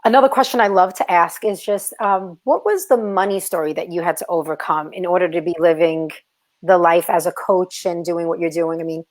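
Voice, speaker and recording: A woman with a Brooklyn accent hosts a casual interview. Her voice sits a little low for a woman. She asks long, encouraging questions that wander as she thinks. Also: steady tone, brisk. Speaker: host.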